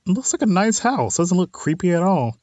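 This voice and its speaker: A man's voice: high voice, sing-song, brisk, darkish tone, no echo. A man hosting a let's play of a visual novel, reading text aloud and adding informal, wry asides. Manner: informal, wry side comments on what is read.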